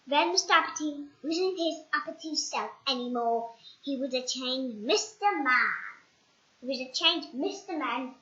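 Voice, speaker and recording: A child narrates a storybook in a high, bright voice. The delivery is slow, halting and dramatic, with repeated words. The recording is echoey and slightly dull. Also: animated. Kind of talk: children's storybook read-aloud.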